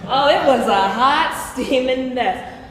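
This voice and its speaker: A woman plays a brash, foul-mouthed friend who spins family gossip with theatrical relish. The voice is high and exclamatory, in a staged reading in a very echoey hall with faint noise behind it. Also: expressive, slow pace. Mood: gossipy, comic. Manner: theatrical, exclamatory comic storytelling. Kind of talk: staged play reading, live. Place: live stage in a reverberant hall.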